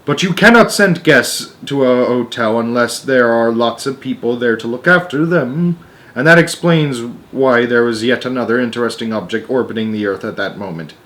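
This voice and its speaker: A man's voice: medium pitch, normal speed, fairly bright tone. Man reading a children's story aloud. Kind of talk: expressive children's book read-aloud.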